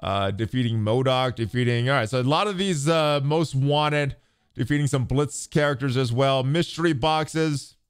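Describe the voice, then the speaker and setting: A man's voice: medium pitch, normal speed, smooth, no room sound, clean studio sound. A man hosting a gaming channel, giving casual running commentary on a game update.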